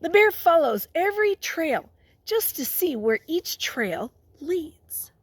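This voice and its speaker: A woman's voice: extremely high pitch, sing-song, dry recording. A woman teacher reading a picture book aloud to young children, stopping to ask them questions and prompt them. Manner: pauses to question and prompt listeners.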